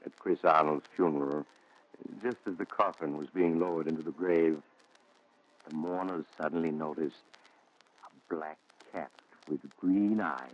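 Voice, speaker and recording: An actor playing a grave, sinister storyteller in a 1940s radio thriller, measured, ominous and theatrical. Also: male voice, deep voice. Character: sinister, genial storytelling male traveler; also grave doctor. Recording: muffled 1940s radio recording.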